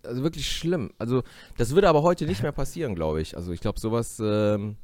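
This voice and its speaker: A man who works as an actor, chatting casually on a podcast in an animated, talkative way full of fillers and self-mockery. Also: mid-range voice, a little fast, dry recording. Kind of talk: casual chat.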